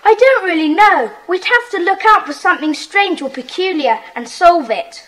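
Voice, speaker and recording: An eager little schoolboy in a children's audio play. His voice is very high and bright, boyish and excitable. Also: normal speed, rough recording. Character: excitable, forgetful young schoolboy club member.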